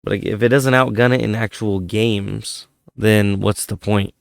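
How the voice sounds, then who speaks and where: A man's voice: lowish voice, fairly even intonation, a little slow, vocal fry, no room sound, studio quality. A man hosting a casual hardware vlog, rambling and thinking aloud, his voice worn from a head cold. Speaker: tech channel host.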